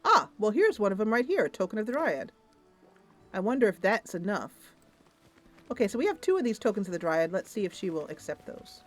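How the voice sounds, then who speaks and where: A woman's voice: mid-range voice, big pitch swings, brisk, faint music behind. A woman streamer improvising story narration over a video game in a loose, conversational way.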